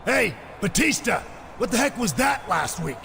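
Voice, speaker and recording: A man's voice: high-pitched, big pitch swings, normal speed, gravelly, pushed and bright, big-room sound, a little hiss or hum, full character voice. A man voicing an irritated wrestling champion who complains in an indignant way.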